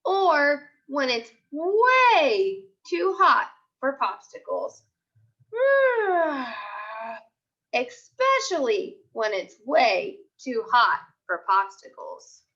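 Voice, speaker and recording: Expressive high-voiced woman teacher reading aloud. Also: lo-fi sound. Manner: expressive, animated storytelling delivery with deliberate pauses. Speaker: elementary school teacher. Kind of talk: read-aloud lesson for young children.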